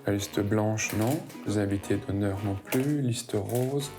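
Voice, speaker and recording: A man's voice: fairly low pitch, unhurried, music in the background. A man playing a festival organizer, hesitant and fussy. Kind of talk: comic sketch, scripted dialogue.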